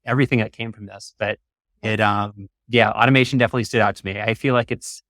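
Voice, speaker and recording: A man's voice: on the low side, steady tone, fast, fairly bright tone, no room sound, clean studio sound. A man hosting an interview in an upbeat, effusive and encouraging conversational way. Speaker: podcast host.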